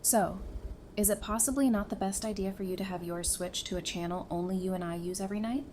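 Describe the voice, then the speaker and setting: A woman playing a caring, casual survivor in an audio drama, speaking in an expressive, conversational way. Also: medium pitch, quick pace, close to an everyday voice. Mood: apologetic, gentle. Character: caring, casual, warm-hearted female survivor. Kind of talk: fan audio drama acting. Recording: clearly noisy background ambience.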